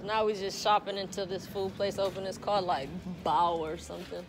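A woman's voice: mid-range voice, level tone, a little fast, music in the background. A young American woman chatting casually and slangily to a handheld camera as she vlogs. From United States, Michigan. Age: young adult. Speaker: vlogger. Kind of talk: casual vlog chat. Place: on the move in city streets, eateries, lobby. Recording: noisy city ambience, traffic and crowds.

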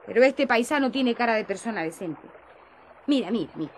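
An actress playing a sassy, sharp-tongued village prostitute in a radio drama, mocking and earthy in manner. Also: female voice, on the high side, lively intonation, a little fast, a little hiss or hum, natural voice.

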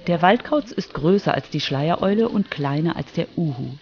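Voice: medium pitch, expressive, moderate pace, highs cut off, slight background noise. A narrator telling a scripted radio feature for children. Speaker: narrator, reporter.

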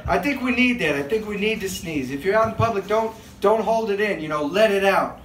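A young man performing a stand-up set on a handheld mic in a small club, animated and acting out excited bystanders. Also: high voice, brisk. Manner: animated, acts out bystanders with big excited outbursts. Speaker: stand-up comedian. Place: small comedy club stage, indoors. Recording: echoey club room with crowd noise.

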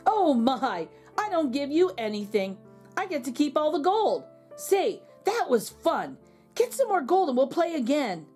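Woman as a sly, playful storybook trickster, lively pitch. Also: measured pace, soft background music. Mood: teasing, mock-innocent. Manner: breezy, teasing, mock-innocent. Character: playful, sly man who outwits goblins. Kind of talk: children's storybook reading.

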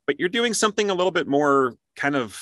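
A man's voice: fairly high pitch, sing-song, quick pace, a bit bright, dry recording, clean studio sound. A man hosting a casual interview, talking in a conversational, explanatory way. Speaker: interview host.

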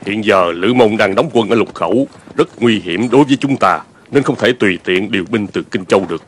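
A man's voice: on the low side, brisk, a little hiss or hum, lightly acted. A man playing a proud, commanding veteran general in a dubbed historical cartoon, stately and self-assured. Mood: haughty, commanding. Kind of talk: dubbed animated historical drama.

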